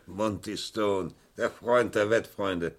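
An actor playing a sceptical police sergeant in a German radio crime drama, terse, sardonic and probing. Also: male voice, fairly low pitch, extremely slow. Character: dry, sceptical male police sergeant questioning suspects.

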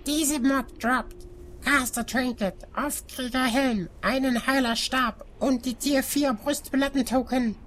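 A woman's voice: sits high, steady tone, slow pace, a bit bright, slight background noise. A woman voicing a perky, boastful know-it-all game add-on in a parody audio drama. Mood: cheerfully boastful.

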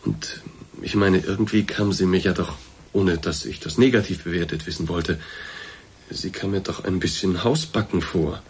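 Deep-voiced, courteous, awkward boss. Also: male voice, normal speed, breathy edge, exaggerated voice. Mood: musing, formal. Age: middle-aged. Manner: formal, hesitant, circumlocutory. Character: courteous, awkward male boss, discreetly breaking bad news. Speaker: actor in a radio play. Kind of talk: German radio drama acting, naturalistic dialogue.

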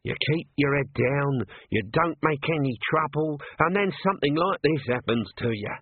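A man's voice: a little high, expressive, normal speed, husky, dry recording, pitched above the performer's own voice. A man voicing a scruffy male corporal who moans in a plaintive, put-upon way. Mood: moaning. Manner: moaning, plaintive. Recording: tinny narrow-band, dry.

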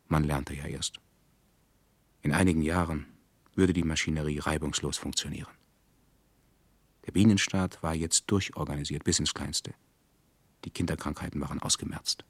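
A man's voice: a bass voice, dry recording. A man narrating a radio drama in a detached, dryly ironic manner. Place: dry radio studio.

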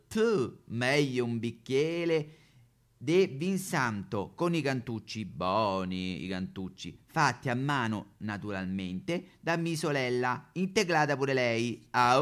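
A man playing a cheerful, boastful Chinese immigrant restaurateur with a Roman accent in a satirical stage monologue. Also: mid-range voice. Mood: proud.

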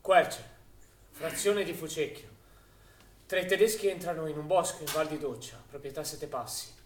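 A male actor playing a sober chronicler of wartime killings, narrating a scripted stage piece in measured, clipped phrases. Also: on the high side, natural voice. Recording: echoey theatre-like room.

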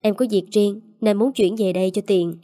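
A woman voicing a gentle young working mother who speaks politely and tenderly in an audio story. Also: mid-range voice, steady tone, normal speed, no room sound, studio quality, lightly acted.

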